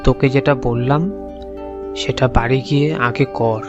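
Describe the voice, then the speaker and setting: A man acts out a wandering holy man of a cartoon folk tale. He speaks very slowly, solemn and commanding, as one who knows all. The sound is slightly dull, with music underneath. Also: mid-range voice, steady tone, lightly acted. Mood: solemn, assured. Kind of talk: cartoon moral-tale acting.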